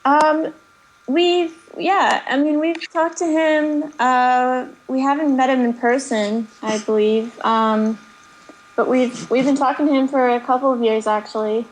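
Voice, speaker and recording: Woman, casual podcast chat, hesitant with fillers, slightly high voice, faint noise. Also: fairly even intonation, unhurried, low-quality recording. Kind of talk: casual conversation.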